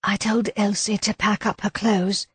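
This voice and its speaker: Lady reader doing a timid english woman, gentle, flustered, hesitant, husky, storybook character. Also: mid-range voice, normal speed, no room sound, voice pushed up. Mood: unhappy. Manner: hesitant, apologetic. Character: shy, anxious, gentle Englishwoman, easily flustered.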